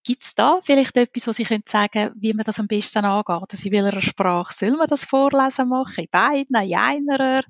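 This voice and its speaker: A female interview host of Italian origin talks in a chatty, personal way. Her voice sits a little high, and she often closes remarks with a question. The sound is dry and close to the mic. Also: brisk, few pauses, dry recording. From Italy. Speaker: podcast host. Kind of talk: conversational interview.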